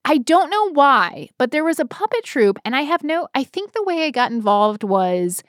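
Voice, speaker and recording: Female co-host, higher-pitched, casual and self-deprecating storyteller, dry studio-like sound. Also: very animated, moderate pace, no room sound. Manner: rambling, self-deprecating, informal. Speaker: podcast co-host. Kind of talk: casual chat, personal storytelling.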